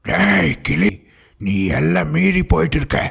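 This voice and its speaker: A man playing a skeptical, questioning schoolboy in a cartoon, with a gravelly, husky voice. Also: on the high side, measured pace, a bit bright, exaggerated voice. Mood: challenging.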